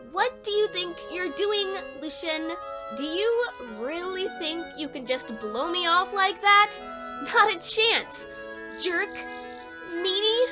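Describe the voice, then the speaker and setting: A woman's voice: extremely high pitch, exaggerated voice. A woman voicing a playful, bossy, theatrical young sister in an audio drama. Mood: indignant. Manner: theatrical, teasing, sing-song exaggeration.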